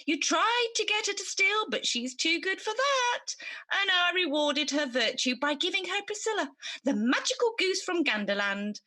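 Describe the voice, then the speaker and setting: A woman's voice: very high-pitched, big pitch swings, moderate pace, sharp tone, exaggerated voice. A woman playing a good magical fairy in a radio pantomime, theatrical, chatty and playfully smug. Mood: smug, boastful.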